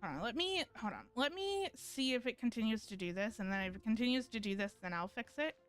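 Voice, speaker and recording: A woman with a fairly high voice chats casually while streaming a game. She talks haltingly, often trailing off mid-thought. Faint music plays behind her. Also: lively intonation. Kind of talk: casual livestream chat.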